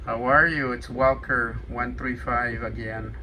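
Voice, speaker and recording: A man in casual radio chat and demonstrating equipment, talking in a halting, hesitant way. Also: medium pitch, fairly even intonation, background noise. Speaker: amateur CB radio operator demonstrating a radio for sale.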